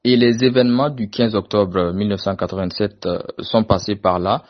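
A man's voice: on the low side, fairly even intonation, moderate pace. A man conducting a radio interview, asking direct, formal questions. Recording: muffled lo-fi sound.

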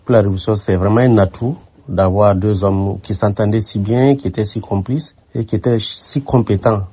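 An older man from Burkina Faso, a former military aide, answering interview questions in a measured, reflective way with frequent hesitations. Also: fairly low pitch, steady tone, husky. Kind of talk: radio interview. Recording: muffled lo-fi sound.

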